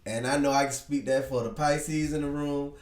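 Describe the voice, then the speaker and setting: A man's voice: fairly high pitch, steady tone, moderate pace, distant mic. A man in his thirties hosting a podcast with laid-back, slangy casual chat. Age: 30s. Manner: laid-back, slangy, swears and jokes freely. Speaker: podcast host. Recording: echoey room, voice off-mic.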